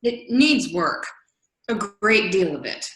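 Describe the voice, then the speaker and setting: Casting: actress for bossy, probing woman, highish and very animated, stage-reading comedy, roomy echoing sound. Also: slow pace, faint music behind. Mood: bossy, emphatic. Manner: insistent, emphatic. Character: exacting, inquisitive woman, bossy coach of storytelling. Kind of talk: readers theater dialogue.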